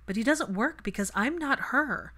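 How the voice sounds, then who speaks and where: An impassioned young daughter stands her ground as a woman narrator acts her out. Her voice rides higher and more forcefully, emphatic and argumentative, in the manner of a storybook reading. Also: animated, moderate pace, heavily acted.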